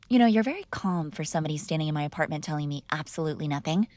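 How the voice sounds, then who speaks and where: A woman's voice: low-pitched, dark tone, no room sound. A woman playing a mob boss's spoiled, defiant daughter in an intimate ASMR roleplay, teasing and sarcastic in a conversational way, close to the microphone. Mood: teasing, coaxing. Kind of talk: ASMR girlfriend roleplay, intimate one-sided dialogue.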